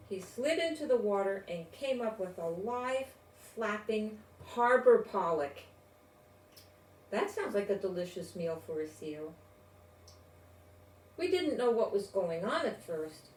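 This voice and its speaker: An older woman reading a children's storybook aloud in a deliberate, expressive way, with informal asides. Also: medium pitch. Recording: roomy, distant mic, faint noise.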